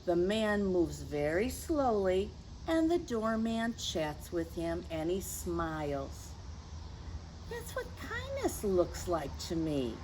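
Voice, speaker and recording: A woman hosting a children's story time, reading aloud in a gentle, teacherly way and speaking directly to young listeners. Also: fairly low pitch, big pitch swings, slow pace, a little hiss or hum, low-quality recording. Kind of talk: picture book read-aloud for children.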